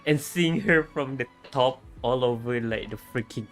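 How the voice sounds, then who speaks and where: A woman's voice: a bass voice, normal speed. A woman streamer, chatting bluntly while playing a game and reacting with mild swearing. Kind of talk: casual game commentary. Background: game music.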